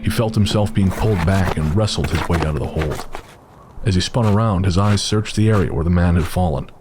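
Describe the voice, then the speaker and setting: A man's voice: sits low, brisk, over loud music. A man narrating a western horror story in a dramatic, scripted audio drama style.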